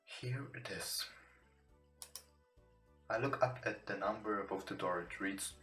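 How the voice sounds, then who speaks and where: A man who is not a native English speaker, reading a visual novel aloud with casual commentary in a somewhat halting way. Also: fairly low pitch, fairly bright tone. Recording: roomy, echoing home recording. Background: game music.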